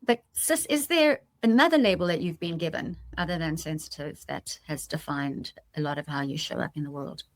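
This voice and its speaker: Lower-pitched woman, close dry podcast sound. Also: moderate pace, few pauses, no room sound. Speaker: podcast host. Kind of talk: conversational podcast talk.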